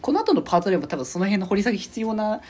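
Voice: mid-range voice, very animated, racing, a little mellow. A podcast co-host who explains and moderates in a casual group chat.

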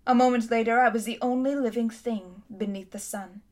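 Woman doing storybook tyrant queen, imperious, loud, haughty, a little higher. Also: steady tone, fairly quick, lightly acted. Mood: cold. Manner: haughty, commanding, grand.

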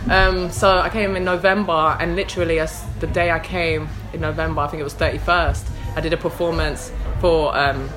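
Chatty London woman with a low voice. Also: steady tone, moderate pace, few pauses, over music. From London, England. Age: late 20s. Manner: chatty and frank, laughs often, lots of fillers. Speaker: rapper and DJ guest. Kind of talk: casual interview chat.

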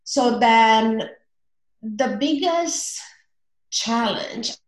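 A woman in her forties with a Romanian accent, a relationship coach speaking earnestly in a casual interview, often pausing on fillers. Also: a little high, roomy sound, poor audio.

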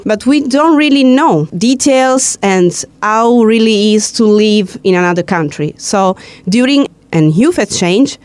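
A woman's voice: mid-range voice, a little mellow, clean tone, dry recording. A woman from Italy, hosting a casual interview in a chatty, playful way. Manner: chatty, playful, laughs and teases guests. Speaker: host. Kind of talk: casual radio-style interview.

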